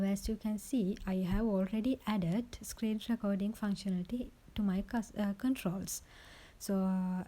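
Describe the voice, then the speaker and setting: A woman's voice: mid-range voice, dark tone. A woman presenting an informal how-to voice-over recorded on a phone's built-in microphone, explaining step by step and often checking in with the listener. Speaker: tutorial presenter.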